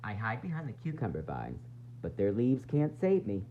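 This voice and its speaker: A man reading a children's picture book aloud in an expressive, dramatic, sing-song way, with varied emphasis. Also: lowish voice, brisk. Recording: dull lo-fi sound, faint noise and hum.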